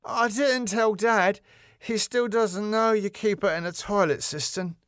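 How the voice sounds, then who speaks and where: A man's voice: high-pitched, a little slow, a bit bright, clean tone, no echo, clean studio sound, voice pushed up. A man voicing a naive, eager young son who blurts and apologises in a sitcom reading. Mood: innocent, blurting.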